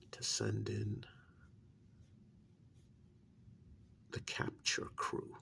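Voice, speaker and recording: Man as stern covert handler for ASMR role-play. Very gravelly, hushed, exasperated, leans hard on repeated words. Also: lowish voice, heavily acted. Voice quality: gravelly, breathy half-whisper. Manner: deliberate, halting, menacingly emphatic, repeats words for weight. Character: stern, exasperated male handler running a covert containment operation. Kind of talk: soft-spoken ASMR role-play, half whispered.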